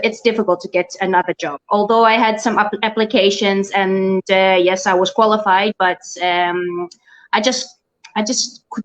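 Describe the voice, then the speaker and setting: A woman's voice: mid-range voice, low-quality recording. A middle-aged woman from the Netherlands, a guest in an online interview, talking casually with many fillers and restarts. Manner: hesitant, many restarts and fillers, laughs often.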